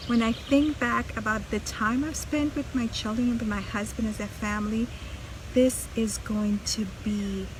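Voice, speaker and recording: A woman's voice: on the high side, fairly even intonation, moderate pace, noisy background. A middle-aged woman from Trinidad and Tobago who hosts a vlog and tells her own story in an earnest, reflective way, often restarting her sentences. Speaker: vlog host.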